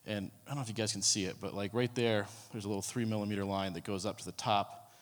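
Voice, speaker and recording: A man with a low voice presents to a room, speaking casually and filling pauses with ums and false starts. His voice carries a long hall reverb. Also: fairly even intonation. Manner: casual, many ums and restarts. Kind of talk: conference talk. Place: conference room.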